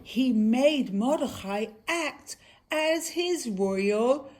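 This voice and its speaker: A woman narrating a rhymed children's puppet story in an expressive, deliberate manner. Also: high voice.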